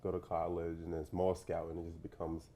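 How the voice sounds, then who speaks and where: A young man from Nigeria, a guest in a casual interview, talking in a relaxed, rambling way with lots of fillers and "you know". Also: deep voice, brisk, slight background noise. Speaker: professional basketball player, guest.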